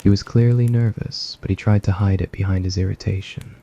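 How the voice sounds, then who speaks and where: A man narrates an ASMR story in a low voice full of gravelly fry. His delivery is soft and scripted, with a husky edge. The recording sounds slightly dull and lo-fi. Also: fairly even intonation, fairly quick, a little hiss or hum.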